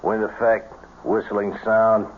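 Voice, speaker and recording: An actor playing an excitable, slow-witted male accomplice to a crook, animated and questioning, in an old-time radio drama. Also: lowish voice. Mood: doubtful. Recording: tinny, noisy old radio recording.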